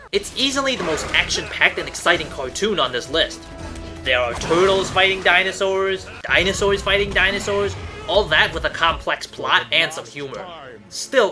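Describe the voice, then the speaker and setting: Animated, bright-voiced man hosting a countdown. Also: a little high. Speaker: host of an online cartoon countdown show. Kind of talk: scripted countdown review narration. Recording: music under the voice. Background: background music.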